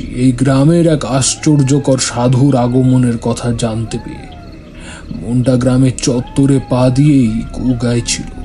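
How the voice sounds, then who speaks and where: A man's voice: mid-range voice, mellow. A man playing a stern, authoritative tantric holy man who admonishes formally in a horror audio drama. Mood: grave, assured.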